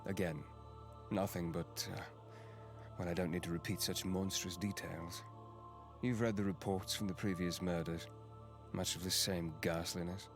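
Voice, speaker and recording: Man acting a low, stern, exhausted general. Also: fairly even intonation, music in the background. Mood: grave, reluctant. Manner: measured, commanding, curt. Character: exhausted, stern non-binary fantasy general giving orders. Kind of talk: acted fantasy audio drama.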